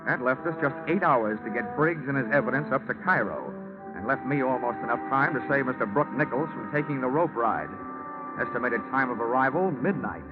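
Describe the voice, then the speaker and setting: A man's voice: mid-range voice, natural voice. An American actor playing a dry, sardonic, clipped insurance investigator who narrates his case in 1940s radio detective style. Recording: dull 1940s radio recording.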